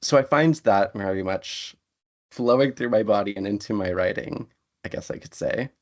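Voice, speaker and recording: A man's voice: lowish voice, normal speed. A man speaking as a writer being interviewed, answering in a thoughtful, articulate, reflective way. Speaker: interview guest, writer and lecturer. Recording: dull, lo-fi audio.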